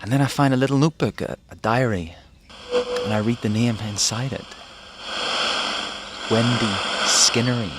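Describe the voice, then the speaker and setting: An actor playing a haunted fugitive physicist in a wartime audio drama, musing hesitantly as if recording a diary. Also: male voice, mid-range voice, normal speed, pauses often, heavy background noise, close to an everyday voice. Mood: brooding, uneasy. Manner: hesitant, musing, with sighs and broken phrases. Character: haunted, rambling fugitive physicist, man living through a war.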